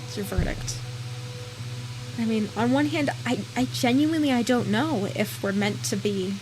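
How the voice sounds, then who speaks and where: A woman's voice: fairly high pitch, moderate pace, music in the background, rough recording. A woman streamer giving casual commentary on a game, thinking aloud and rambling with restarts. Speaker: let's play streamer.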